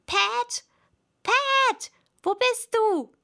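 A woman's voice: sits high, takes it slowly, fairly bright tone, exaggerated voice. A woman voicing an angry, bossy male rabbit from a storybook, scolding and calling out orders imperiously.

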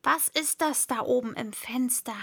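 A woman's voice: fairly high pitch, measured pace, gravelly, sharp tone, slightly rough, full character voice. A woman voicing an angry, bossy male rabbit from a storybook, scolding and calling out orders imperiously. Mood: irritated, bossy.

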